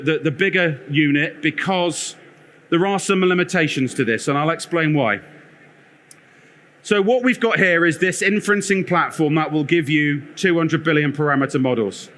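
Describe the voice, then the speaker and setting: A man with a loud, bright voice presents on a conference stage, pitched a little high for a man. He talks in an energetic, joking way, wandering about as he explains. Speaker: conference speaker, product lead. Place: conference theatre.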